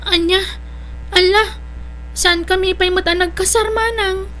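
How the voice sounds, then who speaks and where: A woman's voice: very high voice, steady tone, a little slow, sharp tone, heavily acted. A woman playing a meek, uncertain young bride-to-be in an Ilocano audio drama, hesitant and questioning.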